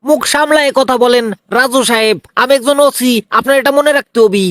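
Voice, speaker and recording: A man's voice: very high-pitched, a little fast, a bit bright, no echo. A man voicing a defensive, evasive cartoon crook in a comic style, with a whiny, protesting manner and a Bangladeshi regional Bengali accent. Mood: indignant.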